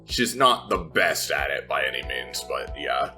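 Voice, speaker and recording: A man's voice: a bass voice, very animated, measured pace, sharp tone. A man playing a tabletop roleplaying game, describing his character in a thoughtful, tentative way. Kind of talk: describing own character's actions in third person. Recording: music playing behind. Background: background music.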